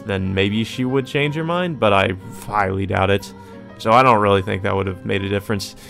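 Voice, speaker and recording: A man's voice: on the low side, a bit bright. A man reading a visual novel aloud as a let's player, with informal, colloquial delivery. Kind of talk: visual novel read-aloud with casual commentary. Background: game music.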